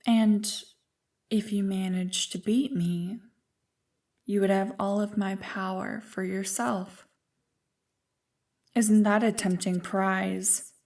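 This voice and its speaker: Woman acting a slow, teasing ancient evil creature. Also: medium pitch, fairly even intonation, darkish tone, far from the mic. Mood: conspiratorial, tempting. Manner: slow, teasing, condescending, savoring words. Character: ancient nefarious evil creature, female, playful, condescending, manipulative. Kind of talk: ASMR roleplay, scripted monologue to the listener. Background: music.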